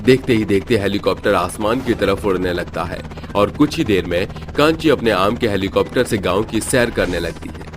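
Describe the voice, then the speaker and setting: Brief: male narrator, lowish voice, steady storytelling, faint music under.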